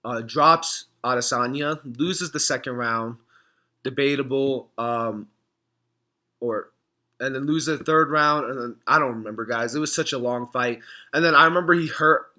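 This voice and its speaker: A young man with a hoarse voice, talking casually to camera in a reaction vlog, rambling, clearing his throat often. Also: medium pitch, moderate pace, bright, forceful tone. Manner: rambling, frequent throat clearing and filler words. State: hoarse from screaming. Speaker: MMA fan vlogger.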